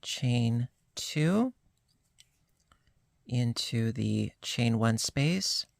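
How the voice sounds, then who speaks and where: Slow, gravelly, slightly hoarse crochet teacher. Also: medium pitch, no room sound. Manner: calm, unhurried, talks through each stitch. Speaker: crochet instructor. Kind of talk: step-by-step crochet tutorial.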